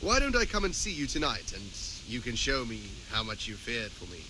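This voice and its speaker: Roguish, animated medieval flirt. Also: male voice, medium pitch, fairly quick, noisy background. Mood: flirty. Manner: playful, teasing. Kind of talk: acted game dialogue.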